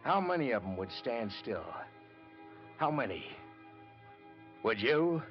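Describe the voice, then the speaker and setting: An American actor playing a bitter, brooding newspaper reporter in an old-time radio noir drama, with a dramatic, clipped delivery. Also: male voice, medium pitch, big pitch swings, slow pace. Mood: challenging, intense. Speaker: radio drama lead and narrator. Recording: dull old radio recording with music.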